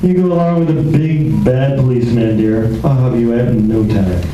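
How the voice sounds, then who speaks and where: A man's voice: mid-range voice, brisk, dark tone, natural voice. A man playing a suave, wisecracking private detective in an amateur old-time radio play. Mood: flirtatious, teasing. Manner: suave, teasing, casual. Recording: very echoey room, distant mic, clear noise.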